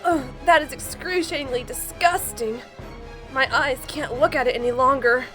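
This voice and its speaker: A fairly high, haughty female bat played by a woman for a fan audio drama. She is vain and sassy, out for herself, over music. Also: normal speed, lightly acted. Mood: disdainful. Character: vain, sassy, self-serving female bat treasure hunter.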